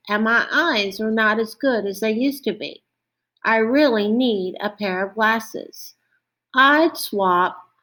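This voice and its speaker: An aging, watchful sheep from a children's storybook, played by a woman. It speaks earnestly and emphatically. The voice is high and unhurried. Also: fairly bright tone. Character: aging sheep with failing eyes, anxiously watchful. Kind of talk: storybook character voice.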